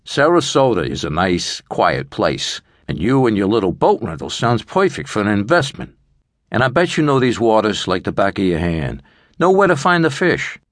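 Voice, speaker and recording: A streetwise, middle-aged money lender with menace under a businesslike manner, acted out by a male narrator. He lays out terms in a measured way, with lively pitch, recorded close and dry. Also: dry recording. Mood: calculating. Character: calculating middle-aged male loan shark, cool and menacing.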